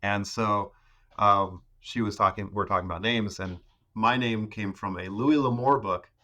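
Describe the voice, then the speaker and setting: A man in his thirties, a podcast guest, talking casually about growing up in the rainforest, with many pauses and restarts. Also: fairly low pitch, bright, forceful tone. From Ecuador. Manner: hesitant, many fillers and restarts. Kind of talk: casual conversation.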